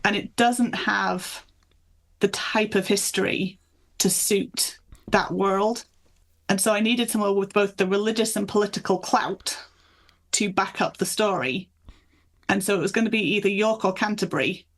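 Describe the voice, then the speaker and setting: A woman's voice: medium pitch, moderate pace. A woman from the north of England and Michigan, chatting in an anecdotal, conversational way as an author being interviewed. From north of England and Michigan, USA. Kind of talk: casual interview chat.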